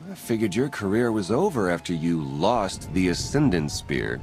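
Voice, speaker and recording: Low, gravelly sardonic rogue. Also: male voice, big pitch swings, moderate pace, a little mellow. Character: sardonic male rogue, old rival of minister. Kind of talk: dramatic game acting. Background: music.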